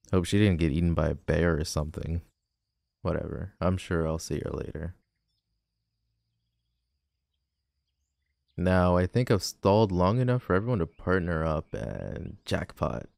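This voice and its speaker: A man's voice: sits low, expressive, normal speed, vocal fry, darkish tone, no echo. A man playing a cocky, grumpy tall teenage delinquent boy in an audio roleplay, sarcastic, teasing and dismissive. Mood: sarcastic, relieved. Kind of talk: boyfriend ASMR audio roleplay.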